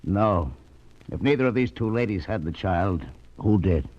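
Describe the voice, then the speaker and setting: An actor playing an exasperated, sarcastic police lieutenant in a 1940s radio drama, with a gruff, sardonic manner. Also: male voice, on the low side, lively intonation, a little slow, a little mellow. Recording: dull 1940s radio recording.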